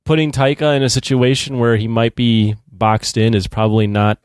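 Mid-pitched man chatting, very dry sound. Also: little pitch movement, moderate pace, no echo. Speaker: podcast co-host. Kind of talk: casual chat.

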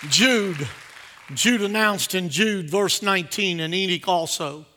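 A man preaching a sermon to a congregation, exhorting emphatically with repeated phrases and swinging between quieter asides and loud declarations. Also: sits high, a little slow, a little hiss or hum.

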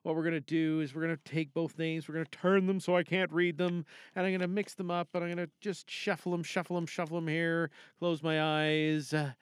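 A man's voice: fairly high pitch, flat delivery, brisk, clean tone, no echo, clean studio sound. A man hosting a podcast in a casual, chatty style with theatrical announcing and self-deprecating asides. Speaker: podcast host. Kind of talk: casual chatty show introduction. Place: home recording booth.

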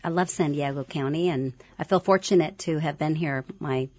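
A Californian woman telling how she met her husband, soft and a little hesitant. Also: low-pitched, moderate pace, breathy edge, dry recording. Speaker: interview guest, pastor's wife. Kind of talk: personal storytelling. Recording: muffled, dry radio studio sound.

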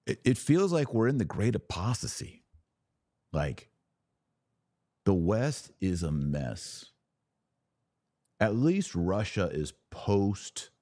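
A man's voice: fairly low pitch, warm tone, dry recording, studio quality. A man hosting a podcast, giving unscripted religious commentary in an emphatic way, repeating phrases for weight.

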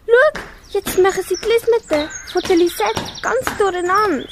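A young girl with a Swiss German accent playing a small, lonely, curious kitten in an amateur school radio play, meowing and earnest. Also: very high voice. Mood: wistful, annoyed. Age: child or young teen. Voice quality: childlike, meowing. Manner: slow, earnest story-acting with meows. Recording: faint background noise.

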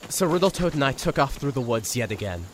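Wry man narrating with lively pitch. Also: fast, heavy background noise. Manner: earnest, wry first-person narration. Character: nervous young radio reporter narrating. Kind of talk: audio drama narration and dialogue.

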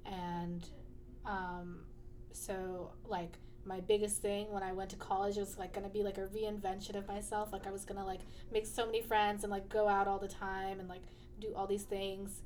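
A woman's voice: medium pitch, little pitch movement, moderate pace, a little mellow, a little hiss or hum. A young adult woman talking as a student guest in a casual interview, chatty and full of fillers, laughing easily.

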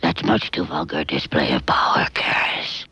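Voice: a bass voice, normal speed, pushed and bright, slightly rough, in a whisper, full character voice. An actor voicing a demon that possesses a girl, mocking and cold, in a film clip. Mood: menacing mockery.

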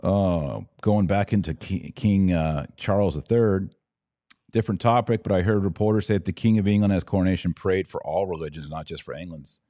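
A man's voice: deep voice, a little fast, mellow, no room sound, studio quality. An American man hosting a live commentary podcast, opinionated and conversational, repeating himself for emphasis as he answers viewer comments.

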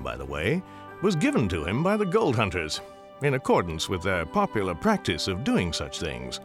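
An actor narrating a radio storybook tale of the Old West as a wry, courtly storyteller. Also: male voice, low-pitched, a bit bright, heavily acted. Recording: music behind the voice.

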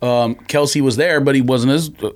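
A man's voice: medium pitch, fairly even intonation, normal speed. A man co-hosting a sports podcast, debating casually in an emphatic, insistent way. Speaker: sports and sneaker podcast co-host.

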